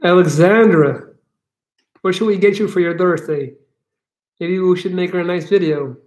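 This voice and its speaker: An upbeat man in his thirties hosts a casual home livestream, chatting with viewers and reading out their comments. His voice sits high for a man. It is picked up on a laptop webcam mic in a living room. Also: normal speed. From Belgium. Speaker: livestream host, coach.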